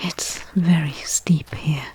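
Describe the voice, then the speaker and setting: A woman playing a weary stranded space explorer, wry and resigned, talking to herself in a breathy ASMR roleplay. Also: low-pitched, dark tone, exaggerated voice. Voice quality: breathy, whispery. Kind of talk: ASMR audio roleplay monologue.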